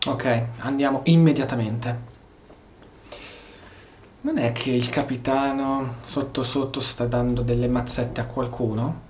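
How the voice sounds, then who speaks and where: A man's voice: mid-range voice, steady tone, a little slow, highs cut off, a little hiss or hum. A man giving casual gameplay commentary, musing and thinking aloud. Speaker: gameplay streamer. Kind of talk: casual Italian-style let's play commentary. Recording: game music behind the voice.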